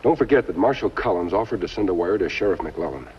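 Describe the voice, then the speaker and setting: An actor playing an upright masked lawman hero in a 1950s television western, speaking in a measured, deliberate way with a firm voice. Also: deep voice, animated. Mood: resolute. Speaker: lead actor in a western series. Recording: muffled, noisy 1950s film soundtrack.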